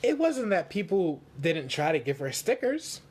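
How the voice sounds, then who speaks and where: A man reading a storybook aloud for children in simple, deliberate phrases. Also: high voice, big pitch swings, a little mellow, slightly rough.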